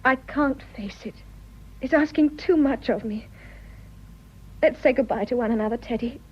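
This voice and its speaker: An actress playing a torn, passionate young English wife in a radio drama, earnest and emotional, with a British accent. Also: female voice, a little high, normal speed, breathy edge. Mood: anguished. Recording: dull, muffled radio drama audio.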